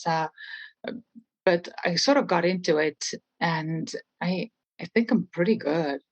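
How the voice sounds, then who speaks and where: A woman's voice: lowish voice, dry recording, low-quality recording. A middle-aged woman from Estonia with an Estonian accent, talking conversationally as an interview guest. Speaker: interview guest, real estate trainer.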